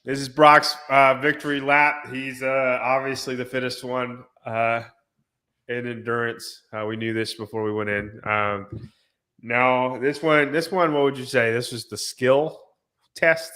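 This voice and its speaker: Male podcast host, bright tone, stuffy nasal cold, joking coach energy. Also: medium pitch, fairly even intonation, a little slow, clear, resonant tone. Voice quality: slightly nasal. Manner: teasing, coach-like. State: congested from a cold. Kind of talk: casual chat.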